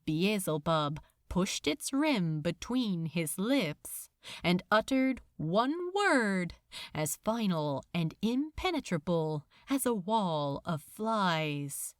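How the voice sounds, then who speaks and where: Woman narrating a story, real slow and dramatic, voice swoops around a lot, dry close sound. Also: fairly low pitch, big pitch swings, no echo. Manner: theatrical, intense, deliberate. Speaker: podfic narrator. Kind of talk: dramatic scripted fiction narration.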